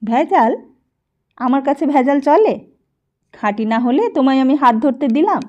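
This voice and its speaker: A woman's voice: sits high, expressive, a little fast, lo-fi sound. A woman reading the part of a proud, practical young woman who chides and teases her suitor in an audiobook. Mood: teasing.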